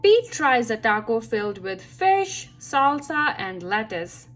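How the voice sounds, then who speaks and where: Slow, lively woman reading to children. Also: on the high side, faint music behind. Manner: expressive, deliberate phrasing for young listeners. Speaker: read-aloud storyteller for children. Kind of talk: children's picture book read-aloud.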